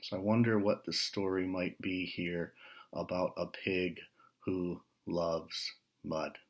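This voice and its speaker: A man with a fairly low voice reads a picture book aloud at a very slow pace. He pauses between short phrases and chats to young listeners, putting questions to them as he goes. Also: level tone. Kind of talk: read-aloud storytelling with casual asides to young listeners.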